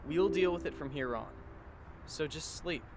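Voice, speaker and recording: A man playing a wry, resigned high school boy in an anime fan dub, speaking in a dry, reflective way. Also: normal speed, a loud crowd, natural voice. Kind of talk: anime fan dub dialogue and inner monologue.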